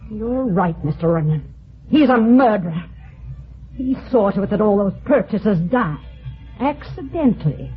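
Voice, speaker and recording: A woman's voice: medium pitch, lively intonation, normal speed, breathy edge, faint music behind. An actress playing a vengeful, imperious female swindler in old-time radio melodrama, theatrical and cutting. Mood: bitter, contemptuous. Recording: thin, narrow-band old radio recording.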